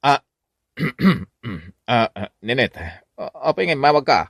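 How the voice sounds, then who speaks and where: Joyce, swooping camp Ilocano beautician. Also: male voice, medium pitch, expressive, slow, vocal fry, a bit bright, dry recording, studio quality, exaggerated voice. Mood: flustered, camp. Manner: camp, exaggerated, coy. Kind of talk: radio comedy drama acting.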